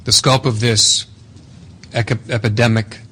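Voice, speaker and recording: A man playing a grave President addressing the nation in a radio drama, formal, halting and deliberate. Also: mid-range voice, flat delivery, sharp tone, husky. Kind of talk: radio drama speech acting.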